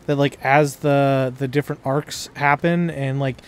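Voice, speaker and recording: A man's voice: mid-range voice, steady tone, measured pace, smooth. A man in casual, slangy gameplay chat, joking as he goes with his co-op partner. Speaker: gaming streamer, co-op player.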